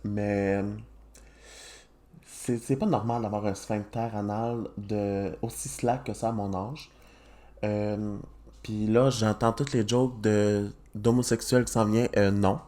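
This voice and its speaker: A man's voice: fairly low pitch, fairly even intonation, slow, warm tone. Joking young man hosting a podcast. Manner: joking, laughs often, mixes in English words. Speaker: podcast host. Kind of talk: casual chat.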